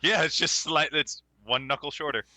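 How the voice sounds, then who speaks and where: A man's voice: fairly high pitch, moderate pace, bright, forceful tone, no room sound. A man chatting casually as a player in a tabletop game. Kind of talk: casual chat.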